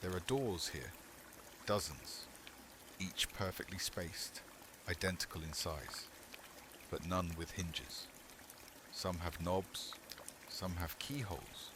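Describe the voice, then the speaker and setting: A man reading a scripted second-person horror story in ASMR style, hushed and measured, in short phrases with long pauses. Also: low-pitched, background noise. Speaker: horror sleepcast narrator.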